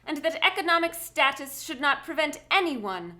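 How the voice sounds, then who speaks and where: Woman acting a high, bright, poised socialite. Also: very animated, moderate pace, heavily acted. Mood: formal, gracious. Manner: formal, polished, composed. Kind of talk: live radio drama acting.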